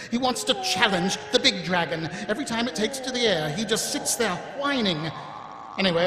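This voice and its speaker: Young guy, high voice, earnest n plain-spoken, roomy echoey stage recording. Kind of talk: live audio drama acting. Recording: echoey live hall recording.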